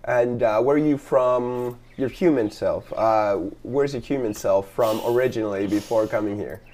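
A man hosting a relaxed interview, asking loose questions and backing the guest up with easy affirmations. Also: mid-range voice, normal speed, slight background noise. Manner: easygoing, frequent "mm-hmm" and "beautiful". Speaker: podcast host. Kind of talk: casual interview chat.

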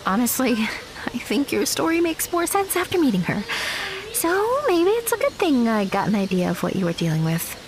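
An actress playing a guarded, blunt young woman with a wry manner and a soft heart in an audio drama. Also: on the high side, sing-song, normal speed, poor audio. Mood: stern, fond. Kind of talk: naturalistic audio drama dialogue.

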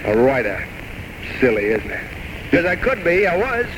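A man's voice: mid-range voice, huge pitch swings, normal speed. An actor playing a tormented, grandiose alcoholic writer in his thirties, rambling theatrically and bitterly mocking himself in an old radio drama. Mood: bitter, anguished. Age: 30s. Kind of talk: 1940s-1950s radio drama acting. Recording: noisy old radio broadcast recording.

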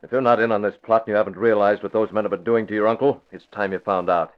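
A man playing a masked vigilante with a fairly low, hard voice who gives clipped, menacing orders. He sounds like a 1940s radio drama, heard through a dull, muffled old recording. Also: fairly even intonation, quick pace, natural voice. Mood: stern. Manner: curt, menacing, commanding.

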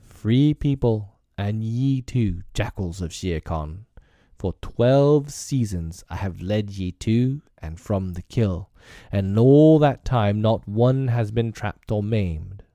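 A man's voice: lowish voice, animated, slow pace, mellow, no echo, studio quality. A man reading as Akela, a weary old wolf leader. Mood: defiant. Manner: grave, formal, defiant. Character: old grey male wolf pack leader, proud and weary. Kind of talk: storybook reading.

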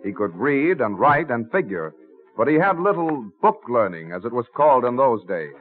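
A tinny, narrow old radio recording of a man narrating a drama from a script, with music behind him. His voice sits a little high and moves through a wide range of pitch. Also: very animated, normal speed.

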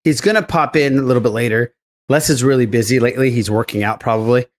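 A man's voice: medium pitch, fast talker. A man hosting a podcast in casual, chummy banter with his co-hosts. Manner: animated, chummy, addresses co-hosts as buddy. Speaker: podcast host.

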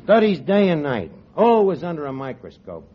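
A middle-aged tavern manager, full of schemes and cracks, his voice swinging lively. It is a dull vintage radio broadcast. Faint audience laughter and hiss sit behind. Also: fairly high pitch, normal speed, crowd noise. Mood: mock-sad. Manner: wisecracking, fake sincerity, ungrammatical. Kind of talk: 1940s radio comedy acting.

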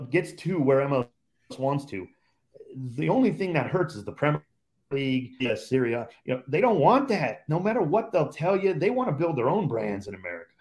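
A man's voice: a little high, big pitch swings, moderate pace, a little mellow. Guy who does play-by-play on the radio, guesting on a podcast, pushy and emphatic, keeps repeating his point. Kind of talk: casual podcast chat.

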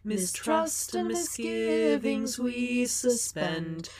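A woman singing a gentle lullaby-like song. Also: fairly high pitch, sing-song, extremely slow. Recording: loud music under the voice. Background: loud music.

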